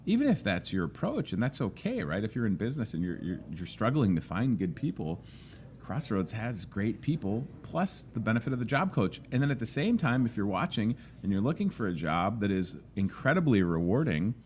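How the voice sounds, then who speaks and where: A man hosting a local talk show, loud and enthusiastic, speaking in an emphatic, animated way. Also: on the low side, a little fast, a little hiss or hum. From Midwestern United States. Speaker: host. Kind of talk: casual interview chat.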